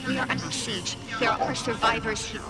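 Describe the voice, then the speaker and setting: A woman's voice: on the high side, fairly even intonation, normal speed, over loud music, natural voice. A woman playing a desperate oracle in a video game, pleading urgently. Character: desperate female oracle pleading under siege. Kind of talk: video game cutscene acting.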